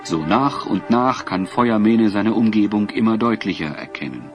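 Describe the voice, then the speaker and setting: A man narrating a scripted children's audio drama in a measured, expressive storytelling manner. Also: lowish voice, faint music behind.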